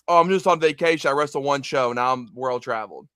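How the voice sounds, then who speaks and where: A man's voice: medium pitch, fast, fairly bright tone, clear, resonant tone, dry recording. A young-sounding man, a guest wrestler talking eagerly and rambling with plenty of "like". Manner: eager, rambling, heavy filler. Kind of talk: casual interview chat.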